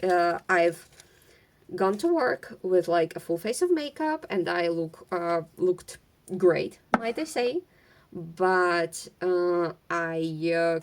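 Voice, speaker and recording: Slow, halting Ukrainian-accented woman chatting. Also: on the low side, slight background noise. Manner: rambling, halting, many fillers and restarts; stutters at times. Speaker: vlogger. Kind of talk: casual chat while sorting craft supplies. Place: at home among craft supplies.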